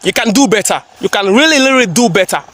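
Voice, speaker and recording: A man's voice: high-pitched, expressive, fast, fairly bright tone. A man giving a preachy, emphatic reaction commentary, repeating phrases for effect. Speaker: commentary vlogger.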